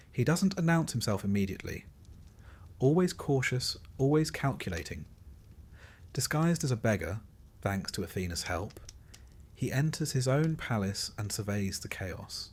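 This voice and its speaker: A man narrating a scripted sleep story as a storyteller, in measured, literary phrasing with short dramatic pauses. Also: fairly low pitch.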